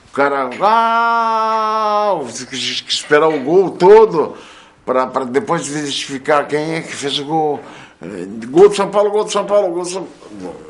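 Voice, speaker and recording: A man's voice: a little high, sing-song, moderate pace, a little hiss or hum. A sports radio announcer telling anecdotes and acting out his goal calls with animation. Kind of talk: anecdotal interview.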